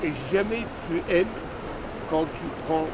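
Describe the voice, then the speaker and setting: High-voiced emphatic Frenchman, very noisy outdoor recording. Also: takes it slowly. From Lyon, France. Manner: slangy, emphatic, repeats himself. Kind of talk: casual animated chat, giving travel tips. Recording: very noisy outdoor street recording, traffic rumble.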